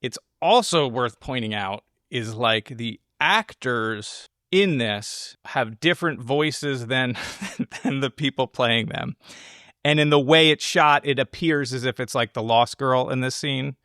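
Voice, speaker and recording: A man's voice: medium pitch, unhurried, pushed and bright, no room sound, clean studio sound. A man hosting a film podcast, explaining his take in casual, think-aloud analysis. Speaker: podcast host.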